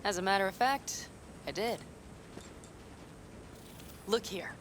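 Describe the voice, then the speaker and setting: A woman's voice: medium pitch, slow pace, slight background noise, close to an everyday voice. An actress voicing a blunt, self-assured female desert nomad in a video game who lays out plans in a dry, matter-of-fact way. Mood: calm, assured. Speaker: game character.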